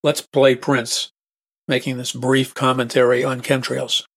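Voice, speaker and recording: A man hosting his own talk-radio show, with a husky, hoarse throat. He preaches in an emphatic, repetitive way and restates his points. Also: mid-range voice, little pitch movement, unhurried.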